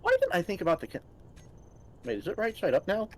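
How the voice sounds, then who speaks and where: A man's voice: high-pitched, huge pitch swings, fast. Easygoing man playing a co-op game. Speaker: co-player. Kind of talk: casual gaming chat.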